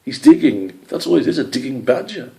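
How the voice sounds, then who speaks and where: A man voicing an earnest, thoughtful male badger in a story, speaking deliberately. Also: mid-range voice, expressive, creaky, dark tone, pitched above the performer's own voice.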